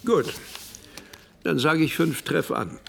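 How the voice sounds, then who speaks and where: An actor playing a confident, jovial doctor at a card table in a conversational radio play. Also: male voice, a little high, animated, takes it slowly, gravelly, fairly bright tone, full character voice. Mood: confident, teasing.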